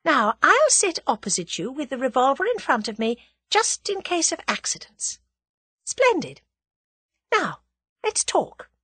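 A woman's voice: high voice, huge pitch swings, a little slow, fairly bright tone, no room sound, voice pushed up, exaggerated voice. A woman voicing a spirited, cunning, plucky young woman with a theatrical delivery in an audiobook story. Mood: brisk, pleasant. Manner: theatrical, dramatic.

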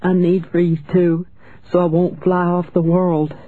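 A man's voice: high voice, completely flat delivery, a little slow, narrow, tinny audio, pitched above the performer's own voice. Man voicing a small, intense little girl. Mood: frail, needy. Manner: small, frail, pleading. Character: frail, intense, defiant little girl craving affection.

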